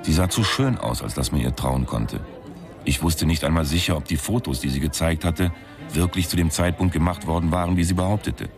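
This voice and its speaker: Over clear background music comes a very deep-voiced hard-boiled male sleuth. He talks in a laconic, deadpan way, with sardonic wit, as in noir radio crime drama. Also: a little fast. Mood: deadpan narrating. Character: wisecracking deadpan New York private sleuth, self-styled tough guy. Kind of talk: radio crime drama, first-person noir narration and dialogue. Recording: music under the voice.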